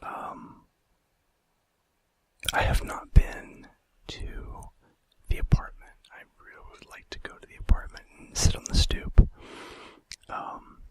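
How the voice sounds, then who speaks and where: Male ASMR host, breathy whisper, unhurried pace, informal, hesitant. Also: no echo, low-quality recording. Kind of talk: whispered ASMR fact video.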